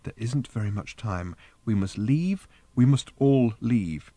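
A man voicing a calm, earnest mother in a story, quietly and persistently pleading with her daughter. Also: medium pitch, moderate pace, dry recording, natural voice. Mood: urgent calm.

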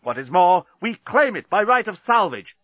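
A man voicing a proud, gallant, indignant alien commander in a loud, declamatory audiobook character voice. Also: sits high, sing-song, normal speed, no echo, highs cut off, pitched above the performer's own voice, exaggerated voice. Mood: indignant, defiant. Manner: loud, declamatory, impassioned speechifying.

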